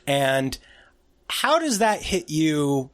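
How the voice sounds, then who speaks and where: A man in his late thirties, chatting on a podcast with a voice that sits a touch high and swings widely as he gets worked up. He gasps and jumps in with quick asides, and the sound is close and dry, with no room around it. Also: sing-song, clean tone, no room sound. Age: 30s. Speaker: podcast co-host. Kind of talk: casual podcast chat.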